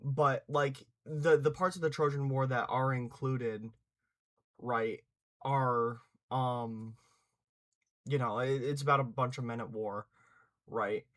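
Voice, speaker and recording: A young man thinks out loud in a loose, unscripted commentary, stumbling over restarts and trailing fillers. His voice sits at an ordinary middle pitch, and he drops in a curse when he wants to press a point. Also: fairly even intonation, takes it slowly. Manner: hesitant, many restarts and fillers, swears for emphasis. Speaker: channel host giving opinions.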